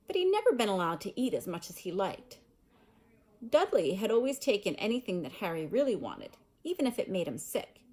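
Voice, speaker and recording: Animated woman reading a story aloud. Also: mid-range voice, rough recording. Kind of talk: expressive storybook read-aloud with casual asides.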